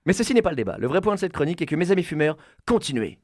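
A man delivering a comic radio column in a punchy, deadpan way. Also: fairly high pitch, very fast.

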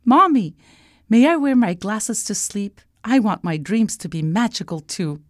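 A woman's voice: mid-range voice, sing-song, a little slow, no room sound, clean studio sound, pitched above the performer's own voice. A woman voicing a sweet, eager little girl princess full of wonder in a children's storybook.

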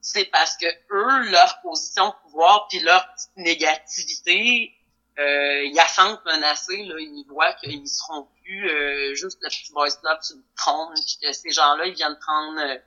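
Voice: medium pitch, measured pace, bright, forceful tone. Earnest musician on muffled call audio. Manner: earnest and talkative, repeats phrases. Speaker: band member, musician guest. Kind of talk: casual interview on a call. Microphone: video call.

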